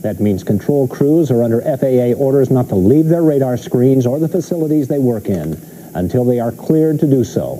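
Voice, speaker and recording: A man's voice: medium pitch, lively intonation, moderate pace, mellow, rough recording. An American man anchoring a TV newscast with scripted delivery. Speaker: news anchor.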